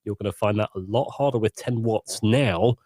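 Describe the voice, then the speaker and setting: A man's voice: on the low side, normal speed, darkish tone, no room sound, studio quality. A man chatting casually and candidly as a podcast panelist, in a self-deprecating way. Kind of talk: casual chat, personal anecdote.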